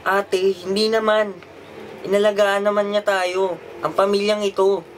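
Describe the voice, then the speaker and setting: A man's voice: high voice, level tone, a little slow, slight background noise, low-quality recording. A man acting an earnest, protective teenage son in a radio drama. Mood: calm, explaining.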